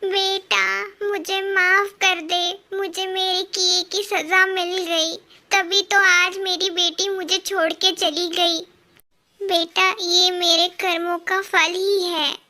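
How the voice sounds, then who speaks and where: A woman acts a frail old cartoon mother, full of pleading and remorse. She drags her words slowly in a very high voice. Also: level tone, sharp tone, exaggerated voice. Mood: remorseful. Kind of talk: children's cartoon dialogue.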